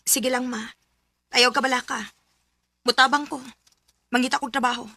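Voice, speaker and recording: High-voiced, earnest young daughter. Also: female voice, flat delivery, moderate pace, pushed and bright. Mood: earnest, determined. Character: earnest, hard-up young daughter desperate for work. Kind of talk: Filipino radio drama acting.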